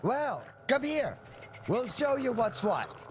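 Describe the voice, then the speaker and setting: A man playing a cocky, teasing young wasteland scout in a video game, with animated delivery. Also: extremely high pitch, slow, noisy background, exaggerated voice. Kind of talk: video game character acting.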